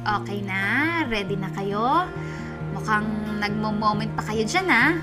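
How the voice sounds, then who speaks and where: A woman's voice: mid-range voice, lively intonation, slow pace, loud music behind the voice. A woman playing a teasing, persuasive young student in a radio drama, with a coaxing manner and a Filipino accent. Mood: upbeat, teasing.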